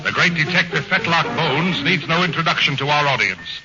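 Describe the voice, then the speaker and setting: A man's voice: a little high, lively intonation, a little fast, music in the background. A man narrating a 1940s radio comedy sketch in a grandiose, melodramatic manner. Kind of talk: mock-dramatic radio narration. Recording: dull old radio broadcast, faint hiss.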